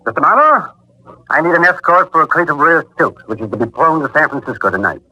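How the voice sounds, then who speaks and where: A man's voice: fairly high pitch, animated, fast talker, bright, forceful tone, full character voice. A man acting in a 1940s radio drama as a dapper, self-assured importer of about forty, clipped, formal and haughty. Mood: haughty, brisk. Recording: dull 1940s radio recording.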